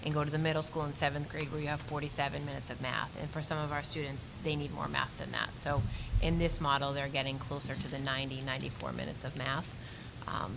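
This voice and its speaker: A woman school administrator briskly explaining details for the public during a meeting. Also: low-pitched, little pitch movement, gravelly, background noise. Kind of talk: meeting discussion.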